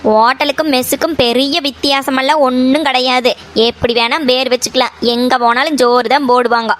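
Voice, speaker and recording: A practical, bossy village kitchen woman played by a woman talks in a high voice with a Kongu Tamil accent. She chides and hands out orders in the manner of a rural family drama. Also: fairly even intonation, fairly bright tone. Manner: chiding, brisk orders.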